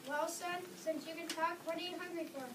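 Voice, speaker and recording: Woman as skeptical folksy man, script-reading style, high voice, noisy distant recording. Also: steady tone, a little fast. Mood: amused surprise. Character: folksy, skeptical male father and tunnel captain. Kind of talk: reader's theater script reading. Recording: distant mic with clear background noise.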